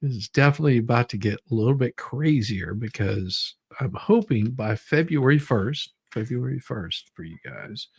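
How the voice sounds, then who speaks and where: A man's voice: mid-range voice, lively intonation, normal speed, gravelly, hardly any pauses, husky, no echo. A man hosting a livestream with casual, rambling chat, often repeating his phrases. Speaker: livestream host.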